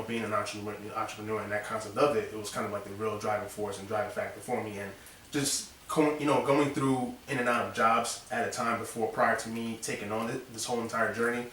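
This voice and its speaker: A man talking casually as an interview guest, rambling with lots of fillers. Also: lowish voice. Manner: relaxed, rambling, many fillers. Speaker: interview guest, design studio owner. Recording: echoey room, distant mic, faint noise.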